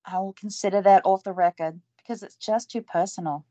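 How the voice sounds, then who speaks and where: A woman's voice: mid-range voice, fairly quick, dark tone, no echo, close to an everyday voice. An actress playing an earnest young woman reporter who is also an army medic, asking questions. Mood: curious. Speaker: interviewer. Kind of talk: audio drama acting. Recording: dull lo-fi recording.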